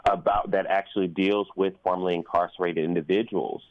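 A man speaking as an interview guest in an earnest, reflective conversational way, often halting and repeating phrases as he thinks. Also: deep voice, fairly even intonation, no echo. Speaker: guest, performer, teacher and activist. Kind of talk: earnest interview conversation about community events. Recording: dull, lo-fi sound.

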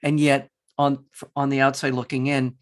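A man hosting an interview, speaking passionately and haltingly with many restarts. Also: medium pitch, completely flat delivery. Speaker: interview host. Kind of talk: interview conversation.

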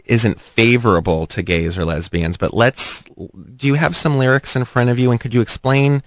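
A man hosting an interview, asking measured, probing questions. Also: mid-range voice, fairly even intonation, no room sound. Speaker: interviewer, host. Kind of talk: radio interview.

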